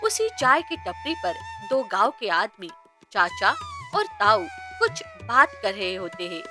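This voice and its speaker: A woman's voice: mid-range voice, big pitch swings, unhurried. A woman narrating a cartoon story in a dramatic, scripted way. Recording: background music.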